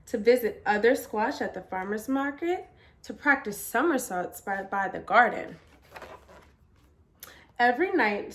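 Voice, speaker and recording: A woman reading a children's picture book aloud as storyteller, with expressive, deliberate emphasis. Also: fairly high pitch.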